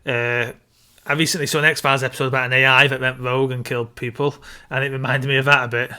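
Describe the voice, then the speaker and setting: A man speaks in a bright, forward voice at a middling pitch. He is a podcast co-host in casual film discussion. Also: little pitch movement, fast, clean tone.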